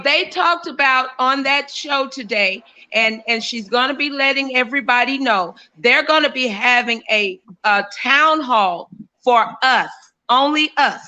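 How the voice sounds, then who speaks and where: A woman's voice: sits high, bright, forceful tone, low-quality recording. A woman co-hosting a casual opinion talk show, speaking emphatically with frequent restarts. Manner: emphatic, many fillers and restarts.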